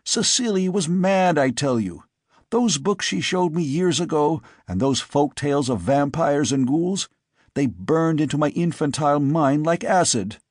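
A man's voice: on the high side, animated, a little slow, darkish tone, no echo, clean studio sound, voice pushed up. A man voicing an earnest, agitated young man who pleads insistently with his father. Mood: distressed.

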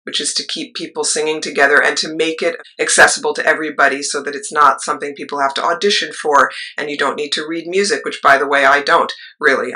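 A middle-aged woman with a low voice, a choir leader and actress, telling her story in a rambling interview style with asides. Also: bright, forceful tone, poor audio. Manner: rambling, with asides and trailing thoughts.

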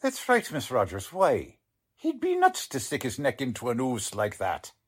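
A man acts out a folksy police lieutenant from a 1940s radio play. He is blunt and plain-spoken, with rough grammar and slang. His mid-range voice jumps up and down with lively expression. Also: medium pitch, moderate pace. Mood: agreeing, puzzled. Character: blunt, folksy male police lieutenant, none too polished. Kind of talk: 1940s radio drama acting.

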